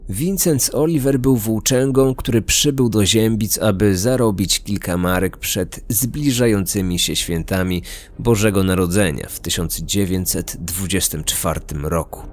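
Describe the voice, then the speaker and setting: A man's voice: on the low side, unhurried, darkish tone. A man delivering scripted documentary narration as the host of a true-crime podcast.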